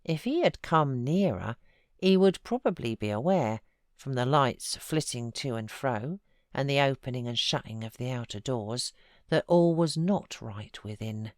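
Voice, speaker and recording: A British woman narrating classic literature as an audiobook, with measured, expressive storytelling. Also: rumbling low, no room sound, clean studio sound. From United Kingdom. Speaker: audiobook narrator and voiceover artist.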